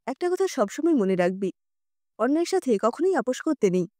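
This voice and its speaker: A woman voicing a kindly but firm old grandmother in an audio story, teasing and gently admonishing. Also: high voice, very animated, brisk, no room sound, studio quality. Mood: firm, wise. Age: older. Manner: affectionate, admonishing, plain-spoken.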